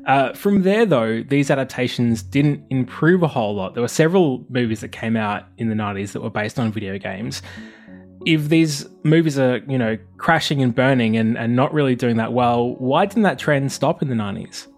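A man's voice: medium pitch, normal speed, soft background music. A man hosting an interview podcast, moving between scripted narration and conversational questions. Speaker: host interviewer.

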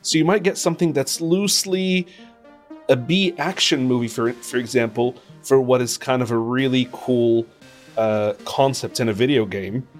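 A male interview guest talks in a medium-pitched voice over background music. He is conversational and thoughtful, stressing his opinions as he explains them. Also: fairly even intonation, moderate pace. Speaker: interview guest, video game composer and radio presenter.

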